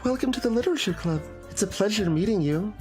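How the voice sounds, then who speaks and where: A timid and mature teenage girl from an anime visual novel, acted by a man. The voice is raised in pitch, polite and courteous. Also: lively intonation, fast, darkish tone, soft background music.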